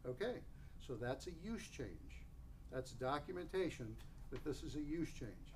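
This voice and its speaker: A man with a creaky, gravelly voice chairs a formal meeting discussion. He presses his points insistently and argues back. Clear background noise runs under the recording. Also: medium pitch, expressive, measured pace. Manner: insistent, argumentative. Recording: noisy public meeting recording.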